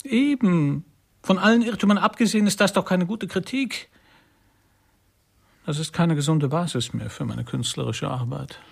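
An actor in a radio play playing a pompous, embittered artist who speaks in stilted, florid dictation. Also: male voice, on the high side, moderate pace, gravelly, slightly rough. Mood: weary, bitter. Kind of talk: German radio play acting.

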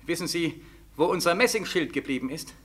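An actor playing a bewildered, desperate soldier home from Siberia in a 1940s German radio drama, with a plaintive, insistent delivery. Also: male voice, fairly high pitch, animated, fairly quick, a bit bright. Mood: anxious. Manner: insistent, repetitive questioning.

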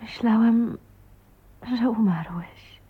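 An actress playing a shy, tender, anxious young wife in a radio drama, speaking in a breathy, hesitant, intimate way. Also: female voice, a little high, takes it slowly, exaggerated voice. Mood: relieved, shaken. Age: young adult. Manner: breathy, hesitant, emotive, often half-whispered. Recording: dull, muffled old radio recording.

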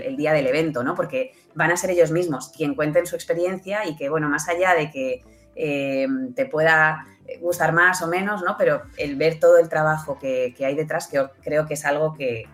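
Woman with low-key, even intonation. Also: moderate pace, soft background music. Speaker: interview guest, audio fiction producer. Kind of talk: conversational interview.

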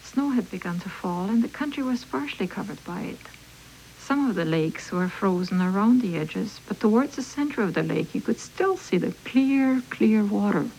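A woman speaking in a measured, descriptive way, reflecting on her own experiences as a documentary interviewee. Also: medium pitch, expressive, creaky, a little mellow, slight background noise, poor audio. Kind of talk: reflective personal recollection. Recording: archival radio documentary played from tape.

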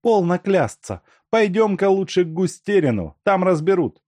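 Bossy, scornful thief girl, pitched high and sharp; male narrator plays her. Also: very animated, moderate pace, no echo. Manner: sharp and scolding. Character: fierce young woman thief, scornful and bossy. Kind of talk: audiobook character voice.

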